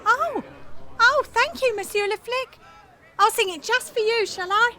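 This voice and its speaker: A feisty young street singer of Paris in an audio drama. Her voice is high, her talk earthy, blunt and defiant, with faint noise behind it. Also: measured pace, bright, forceful tone, heavily acted. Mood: grateful, sweet.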